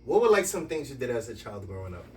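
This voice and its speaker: A man hosting a podcast from a basement home studio, giving lively, playful introductions and questions. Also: mid-range voice, quick pace, recorded from a distance, a little hiss or hum. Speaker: podcast host. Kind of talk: podcast interview.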